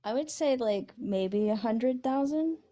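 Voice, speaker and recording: High-voiced woman in her forties, hesitant. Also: lively intonation, unhurried, warm tone. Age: 40s. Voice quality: high-pitched voice. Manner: hesitant, self-doubting. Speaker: caller. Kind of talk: casual debate conversation.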